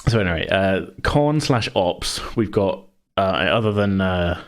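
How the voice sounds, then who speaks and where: Low-voiced man in rambling casual chat. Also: expressive, a little slow. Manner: rambling, thinking aloud. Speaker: podcast host and game master.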